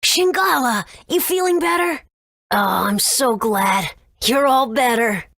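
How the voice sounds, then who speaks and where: Raspy, high-voiced sweet child. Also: female voice, animated, measured pace, fairly bright tone, no room sound, clean studio sound, exaggerated voice. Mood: delighted. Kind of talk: video game voice acting.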